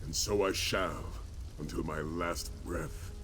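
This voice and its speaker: An actor playing a grave, weary but hopeful warrior leader in a fantasy video game, speaking in solemn, measured oratory. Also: male voice, deep voice, steady tone, noisy background. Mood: resolute. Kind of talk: video game voice acting, dramatic fantasy dialogue. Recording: game audio with faint background ambience.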